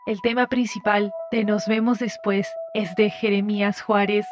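A woman reading scripted podcast announcements and credits in a measured announcer's manner. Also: mid-range voice, flat delivery. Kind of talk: scripted narration. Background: music.

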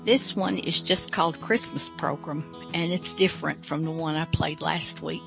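Low, creaky-voiced woman podcast host. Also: steady tone, normal speed, music in the background, low-quality recording. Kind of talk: slow, casual programme introduction.

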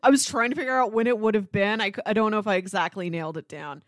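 A woman's voice: mid-range voice, quick pace, no room sound, studio quality. A woman co-hosting a podcast, talking casually and reflectively about film. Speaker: podcast co-host.

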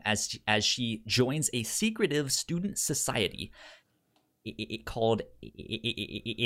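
A man with a pronounced stutter, speaking haltingly and casually as a podcast co-host pitching shows. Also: fairly bright tone. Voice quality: pronounced stutter with repeated sounds and syllables.

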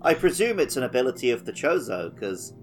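A man's voice: mid-range voice, very animated, fairly quick, soft background music. A man co-hosting a gaming podcast, thinking aloud in a colloquial, analytical way. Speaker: podcast co-host. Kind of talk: casual gaming podcast chat.

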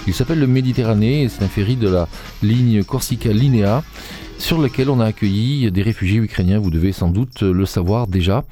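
A man's voice: fairly low pitch, fairly quick, dark tone. A man hosting a radio music show, talking off the cuff in a hesitant, digressive way with frequent reformulations. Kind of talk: unscripted radio presenting between songs. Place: radio studio.

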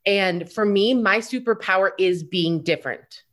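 A woman's voice: mid-range voice, moderate pace. A woman in her thirties speaking as a guest in a casual podcast chat, outspoken and telling stories with acted-out quotes. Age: 30s. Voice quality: loud voice. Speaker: interview guest, wedding business owner.

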